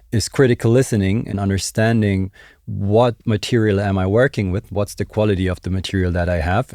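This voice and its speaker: A man's voice: on the low side, dark tone, no room sound, clean studio sound. A man in his thirties giving a casual, conversational music production tutorial, explaining as he thinks aloud. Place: small indoor home studio. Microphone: desk microphone close up.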